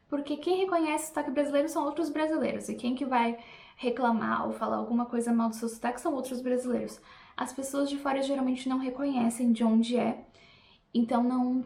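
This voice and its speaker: Fast-talking Brazilian woman, echoey room. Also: on the high side, warm tone. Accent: Brazilian Portuguese. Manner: chatty, reflective, addresses viewers directly. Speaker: language teacher vlogger. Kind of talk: casual talk to camera.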